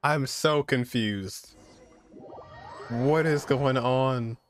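A man's voice: medium pitch, drawn-out words, over music. A young man streaming casual game reactions in short, muttered exclamations. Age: young adult. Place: indoor room.

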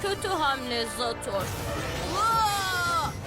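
A woman's voice: extremely high pitch, sing-song, drawn-out words, full character voice. A woman voicing a brave young boy ice warrior who talks firmly and urges others on in a children's action cartoon. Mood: defiant. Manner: firm, commanding, encouraging. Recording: music under the voice.

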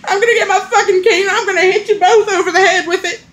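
A woman's voice: very high voice, steady tone, quick pace, a bit bright. A woman playing a hot-tempered, foul-mouthed old lady who wails and complains dramatically in a comic argument skit. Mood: exasperated.

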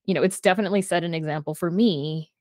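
A woman with a fairly low voice chats casually as a guest on a livestream. She is animated and informal, dropping in conversational fillers often. The sound is close and dry, from a video call. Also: quick pace, no echo. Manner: animated, informal, peppered with "like" and "you know". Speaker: guest, writer and podcast creator. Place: small book-lined room indoors, on a video call.

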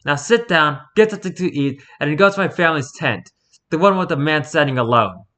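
A man voicing a domineering adult nomad captor with a gruff, commanding manner in a storybook reading. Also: a little high, expressive, normal speed, voice pushed up. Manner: forceful, commanding.

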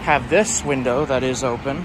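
A man's voice: mid-range voice, steady tone, normal speed. Man vlogging casually outdoors over traffic noise. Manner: dry, self-deprecating sarcasm. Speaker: urban exploration vlogger. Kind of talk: casual improvised walking-tour commentary. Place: outdoors, walking around a building exterior. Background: passing cars, outdoor urban ambience.

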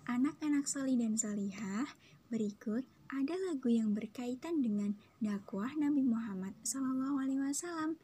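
A young woman teaching a lesson to primary school children, slow and careful, with small fillers. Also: high-pitched, dark tone, breathy edge. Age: young adult. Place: dark room, seated before a backdrop.

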